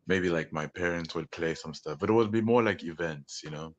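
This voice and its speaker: A man's voice: deep voice, a little fast, dull audio. A man being interviewed as a musician guest, talking in a meandering, hesitant way with many fillers. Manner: meandering, hesitant, full of "like" and "you know". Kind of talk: casual interview talk.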